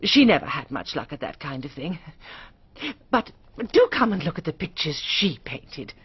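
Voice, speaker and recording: An actress playing a nervous, chatty, genteel middle-aged English spinster in a radio drama, fluttery and hesitant, with a breathy, husky voice. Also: female voice, low voice, big pitch swings, moderate pace, muffled recording, heavily acted. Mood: earnest, fluttery.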